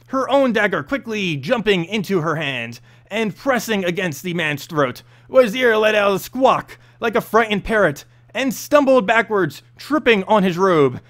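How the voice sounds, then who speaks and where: A man reads fantasy fiction aloud as narrator. His voice is high for a man and moves up and down a lot. The delivery is dramatic and expressive, though a little halting. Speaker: narrator reading a story aloud.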